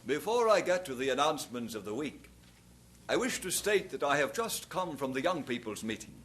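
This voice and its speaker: An actor playing an earnest young Scottish preacher who is shy about love, in a radio drama. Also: male voice, mid-range voice, sing-song, brisk, a bit bright, husky. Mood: preaching. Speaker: pastor.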